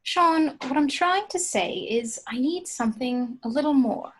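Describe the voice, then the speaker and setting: Girlfriend, late twenties: high voice, earnest, exasperated, pleading for more out of life woman reader, informal table read. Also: expressive, measured pace, husky, heavily acted. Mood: earnest exasperation. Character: exasperated, affectionate 29-year-old girlfriend, wanting more from life.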